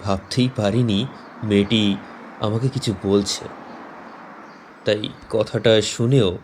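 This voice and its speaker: A man presenting and narrating a scripted horror story in a measured, suspenseful, dramatic manner. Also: lowish voice, fairly even intonation, darkish tone, a little hiss or hum. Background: faint background music.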